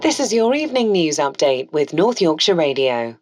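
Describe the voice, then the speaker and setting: A woman with a British accent playing a composed local radio newsreader, delivering a scripted bulletin in a formal, measured way. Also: sits low, very animated, loud music behind the voice. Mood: composed, grave. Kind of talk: scripted news bulletin within audio drama.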